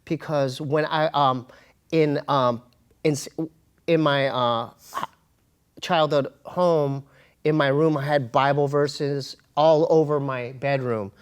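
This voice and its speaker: Interview guest: American male, voice a bit high, halting, emphatic, slangy, conversational storyteller. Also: fairly even intonation, smooth. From United States. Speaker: interview guest, comedian and hip-hop artist. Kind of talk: casual personal storytelling in an interview.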